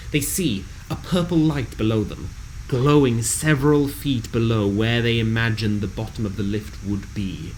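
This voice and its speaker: A man reading a scripted fantasy game recap in a dramatic, measured storytelling delivery. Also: lowish voice, a little hiss or hum, poor audio.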